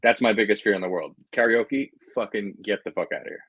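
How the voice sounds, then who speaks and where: Man in his thirties, guest on a video call, blunt and profane, husky low voice. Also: a little fast, dry recording, dull audio. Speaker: podcast guest, actor. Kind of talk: casual chat. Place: home room, indoors.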